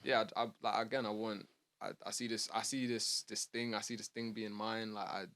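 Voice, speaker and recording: South London male rapper guest, low voice, relaxed and considered. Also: brisk. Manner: thoughtful, relaxed, slangy. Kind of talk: casual interview chat.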